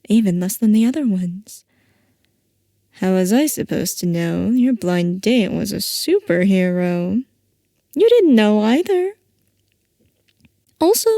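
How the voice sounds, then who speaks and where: A woman's voice: medium pitch, mellow, no room sound, studio quality, heavily acted. A woman playing a playful, theatrical mad-scientist villain boss in a roleplay audio, coy and mock-innocent as she teases. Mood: mock-hurt, defensive, then indignant, defensive. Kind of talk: scripted roleplay audio, ASMR-style character acting.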